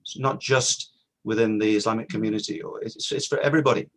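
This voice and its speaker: A man's voice: on the low side, fairly even intonation, fast talker, fairly bright tone. A middle-aged man giving an informal talk at an online community event, reflective and earnest, repeating points for emphasis. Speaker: guest speaker.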